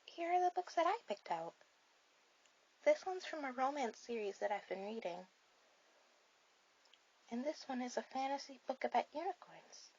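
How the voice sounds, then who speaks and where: A woman voicing a shy, sweet, bookish girl on a first date in an ASMR roleplay, speaking softly and hesitantly to the listener. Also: high voice, expressive, breathy edge, lo-fi sound, exaggerated voice. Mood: shy, chatty. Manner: soft, hesitant, halting delivery.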